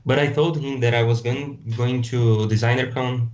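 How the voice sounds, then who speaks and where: A man's voice: mid-range voice, fairly even intonation, moderate pace, darkish tone, lo-fi sound. A Brazilian man with a Portuguese accent, a podcast guest talking casually and hesitantly, often restarting words as he looks for them in English. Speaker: guest designer. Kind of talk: casual interview chat.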